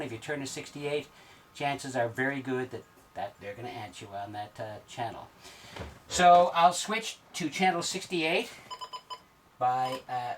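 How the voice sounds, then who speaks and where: A man in his sixties giving a step-by-step instructional demonstration in a plain, methodical way with hesitant pauses. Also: fairly high pitch. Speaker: sailing instructor. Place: small wood-panelled sailboat cabin at the navigation station. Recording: distant mic, clear background noise.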